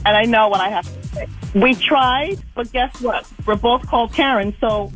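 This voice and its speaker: A woman caller on a radio contest speaks casually in a fairly high voice. There is faint music behind her. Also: normal speed, poor audio. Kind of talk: casual chat.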